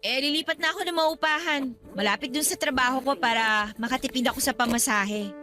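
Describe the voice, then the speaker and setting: An actress playing a proud, hurt younger sister in a radio drama, speaking in an accusing, sarcastic manner. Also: female voice, high voice, fairly quick, over music, natural voice. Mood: guarded, evasive. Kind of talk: Tagalog radio drama acting.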